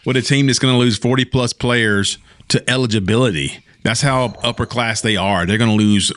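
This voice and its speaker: A man's voice: mid-range voice, normal speed, a bit bright, dry recording, studio quality. An American man co-hosting a sports show, talking casually and giving commentary on the game.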